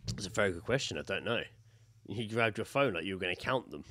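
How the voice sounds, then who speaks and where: A man's voice: low-pitched, animated, fast talker, a bit bright, dry recording, clean studio sound. A man co-hosting a podcast, chatting casually and reading out odd news stories in an informal, sweary way. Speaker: podcast co-host.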